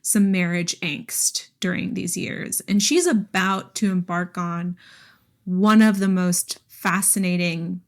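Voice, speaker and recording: Casual woman podcast co-host, animated and informal. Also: lowish voice, takes it slowly. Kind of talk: casual book discussion chat.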